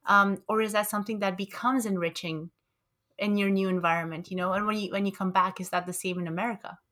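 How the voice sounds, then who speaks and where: A woman's voice: mid-range voice, fairly even intonation, quick pace, a bit bright. A young woman co-hosting a podcast, playful and curious, asking probing questions in casual talk. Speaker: podcast co-host.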